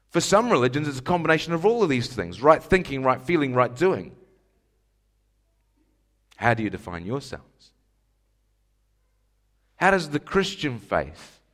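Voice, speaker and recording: A man from Scotland, preaching a sermon in an emphatic style with rhetorical questions. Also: on the high side. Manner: emphatic rhetorical questions, rising to stressed lists.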